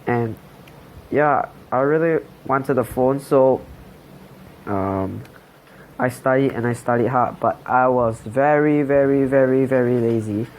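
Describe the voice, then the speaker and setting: A man's voice: medium pitch, slow, a little hiss or hum. A young man in his late teens from Singapore, telling his life story in a hesitant voice-over full of fillers and restarts. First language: Chinese. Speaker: channel host.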